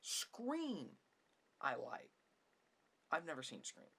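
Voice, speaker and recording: A man giving a rambling ASMR opinion talk with a husky, gravelly voice, halting and emphatic, often repeating and rephrasing himself. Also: fairly high pitch, wildly swooping pitch, a bit bright. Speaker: ASMR video creator.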